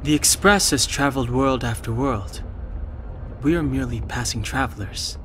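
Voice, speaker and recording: A man's voice: mid-range voice, moderate pace, a bit bright, close to an everyday voice. A man voicing an earnest, resolute young warrior in a video game. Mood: calm, grave. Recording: music behind the voice.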